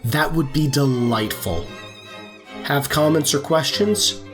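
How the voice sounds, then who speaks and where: A man's voice: fairly high pitch, slow pace. A man reading scripted credits as the announcer of an audio drama series. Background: music with violin.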